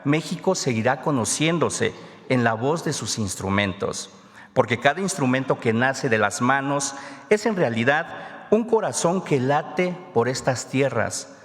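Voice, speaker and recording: A man's voice: medium pitch, a bit bright. A man whose first language is Ayuuk, reading a solemn scripted segment as presenter at a press conference. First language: Ayuuk (Mixe). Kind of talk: read scripted narration. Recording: reverberant hall.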